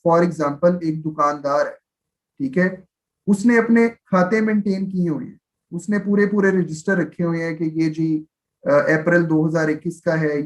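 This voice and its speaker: A man's voice: high-pitched, rough recording. A man with a Pakistani accent lecturing on law in a live class, explaining step by step, switching between two languages and often adding short check-in tags. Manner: explanatory, with frequent check-in tags and slow, measured reading of English passages; switches between Urdu and English. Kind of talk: live exam-prep lecture, explaining and reading out statutes.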